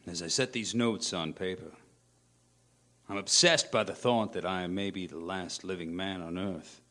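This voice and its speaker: A man's voice: low-pitched, unhurried, pushed and bright. A man playing a weary, haunted scientist, a lone survivor reciting his journal in brooding, literary phrases for a radio play. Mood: bleak, haunted. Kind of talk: radio play dramatic monologue.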